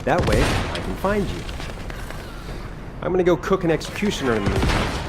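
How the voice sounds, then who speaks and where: Brash, animated young soldier. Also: male voice, on the high side, moderate pace, vocal fry, heavy background noise. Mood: brisk, commanding. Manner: brash, informal, teasing. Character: cocky, exasperated young male soldier who loves cooking. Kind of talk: video game voice acting.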